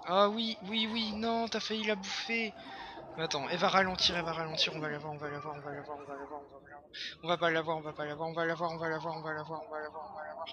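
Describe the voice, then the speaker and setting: A hoarse young man chatting casually over his own gameplay, excitable and full of fillers. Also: a little high, fast, dull audio, crowd noise. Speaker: gameplay commentator. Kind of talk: casual let's-play commentary.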